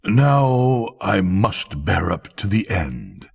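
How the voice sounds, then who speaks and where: A vain storybook emperor played by a man, low-pitched and a little husky. He sounds ponderous and self-questioning, an insecure ruler trying to keep up appearances. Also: sing-song, drawn-out words, full character voice. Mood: resigned. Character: vain, insecure male emperor, privately anxious.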